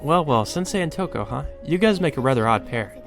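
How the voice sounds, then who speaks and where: A frail but playful schoolgirl from a visual novel, acted out by a man. The voice is soft and raised, lightly teasing, over quiet music. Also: fairly high pitch, lively intonation, fairly quick, voice pushed up. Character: pale, playful teenage girl making light of illness. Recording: music behind the voice.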